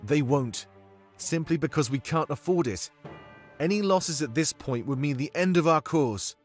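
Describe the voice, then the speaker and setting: A man's voice: fairly high pitch, lively intonation, moderate pace, soft background music, pitched above the performer's own voice, natural voice. A man voicing a bold rebel commander in a fantasy visual novel, emphatic and commanding as he addresses his troops. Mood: reassuring, firm.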